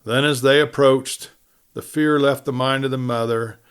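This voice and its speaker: A male narrator with an American accent and a mid-pitched voice reads a western tale. His delivery is dramatic storytelling, in the manner of an audiobook. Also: steady tone, moderate pace, clear, resonant tone.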